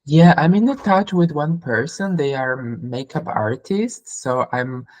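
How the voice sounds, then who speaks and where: A woman from Poland with a Polish accent, speaking as a guest in casual interview chat, her non-native English halting and full of fillers. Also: deep voice, animated, a little mellow.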